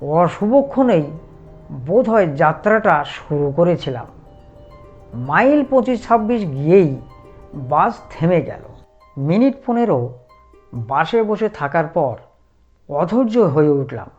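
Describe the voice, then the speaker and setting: A man tells a scripted horror tale in the first person, taking his time over each phrase. His delivery is very slow and measured, with a dramatic storyteller's weight. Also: a little high, very animated, a little mellow, lo-fi sound, soft background music. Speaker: narrator.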